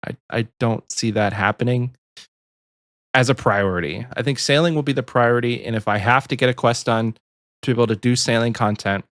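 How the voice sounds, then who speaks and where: A man in his early thirties co-hosting a podcast, easygoing and quick to laugh. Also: mid-range voice, fairly bright tone, dry recording, studio quality. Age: 30s. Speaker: podcast co-host. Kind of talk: casual chat.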